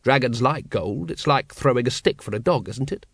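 Guy playing a tired wry watchman, dryly exasperated, mid pitch, audiobook story, no room echo. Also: lively intonation, moderate pace, vocal fry, studio quality. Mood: puzzled. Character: weary, wry adult male city watchman.

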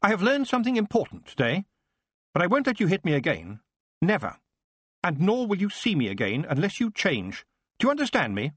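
An earnest young son of a lord in a storybook reading, his voice raised well above the reader's own and speaking in a formal, sincere way, voiced by a male narrator. The tone is slightly husky and recorded dry and close. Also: on the high side, wildly swooping pitch, normal speed, no room sound, voice pushed up, exaggerated voice. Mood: defiant. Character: earnest young nobleman's son, gentle but defiant.

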